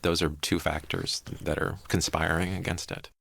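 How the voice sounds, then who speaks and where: A man's voice: deep voice, little pitch movement, normal speed, creaky, fairly bright tone, no echo, clean studio sound. A man giving an explanation as an interviewee.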